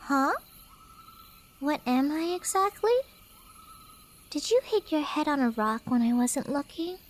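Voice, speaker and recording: Woman playing a high-voiced, teasing Cheshire cat girl. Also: lively intonation, measured pace. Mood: coy, mock-puzzled.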